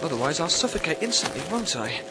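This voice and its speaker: An actor playing a lonely, jittery British astronaut in a radio drama, muttering haltingly to himself. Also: male voice, on the high side, big pitch swings, very noisy. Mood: methodical, muttering. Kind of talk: internet radio drama monologue.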